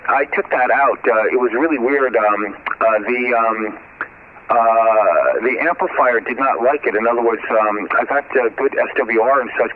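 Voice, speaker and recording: A man working as an amateur radio operator, chatting casually and technically over the air in a halting, thinking-aloud way. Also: medium pitch. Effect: radio transmission. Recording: narrow tinny shortwave radio, clear static noise.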